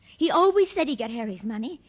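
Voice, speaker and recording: An actress playing an excitable, bitter and insistent woman in 1940s radio drama style. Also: a little high, a little fast. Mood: agitated, accusing. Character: excitable, bitter woman fixated on her runaway second husband. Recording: old radio drama recording.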